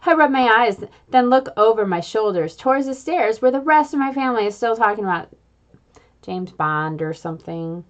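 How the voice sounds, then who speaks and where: A woman reading a children's book aloud in an expressive, playful way, adding little sound effects. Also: on the high side, moderate pace, muffled recording. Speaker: reader.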